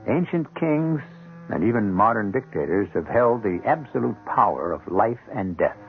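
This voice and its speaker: Guy hosting old radio mystery, low creaky voice, dramatic, music behind. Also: big pitch swings, phone-quality sound, slight background noise. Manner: dramatic, deliberate, ominous. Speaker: host and narrator. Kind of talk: theatrical scripted 1970s radio narration. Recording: thin vintage radio recording with music.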